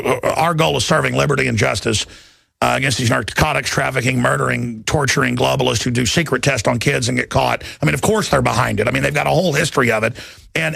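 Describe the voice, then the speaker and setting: Very gravelly, agitated male talk radio host. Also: medium pitch, a bit bright, dry recording. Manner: agitated, rambling, emphatic, with repeated words. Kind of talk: impassioned unscripted talk radio rant. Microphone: close studio broadcast microphone.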